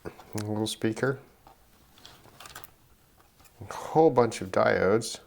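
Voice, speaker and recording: A middle-aged man giving unscripted commentary on an electronics teardown, halting and musing as he thinks aloud. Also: lowish voice, creaky. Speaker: electronics hobbyist presenter.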